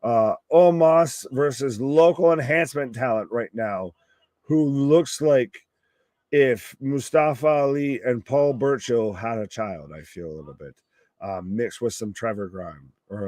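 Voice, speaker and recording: A man hosting a wrestling live stream, with a gravelly, worn voice and a casual, rambling, stop-start way of talking. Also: mid-range voice, measured pace, a little mellow, no room sound.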